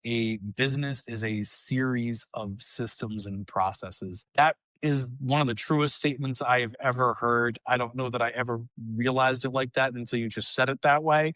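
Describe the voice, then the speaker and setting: A man's voice: medium pitch, a bit bright, dry recording, rough recording. A man hosting an interview podcast, upbeat and chatty, praising his guests. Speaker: podcast host.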